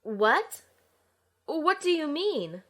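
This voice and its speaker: A woman's voice: high voice, big pitch swings, extremely slow, fairly bright tone, heavily acted. An actress playing an earnest young girl in an audio drama, telling the story in first person and speaking in it. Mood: alarmed. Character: earnest young girl narrating her team's battle.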